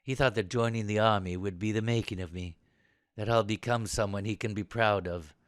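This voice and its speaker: A man acting as a well-bred young British army man in a wartime radio play. His upper-class English speech is clipped and superior, loaded with sarcasm. The voice sits at a medium pitch, recorded very dry and close. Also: animated, normal speed, dry recording, natural voice. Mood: subdued, confiding. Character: posh, well-bred, injured young British soldier, haughty. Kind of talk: audio drama acting.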